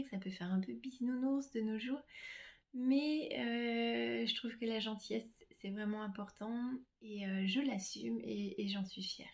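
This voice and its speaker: A middle-aged woman, a reflexologist, talking casually about herself to her clients in a gentle, hesitant way with many pauses. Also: fairly high pitch. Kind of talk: casual self-presentation to clients.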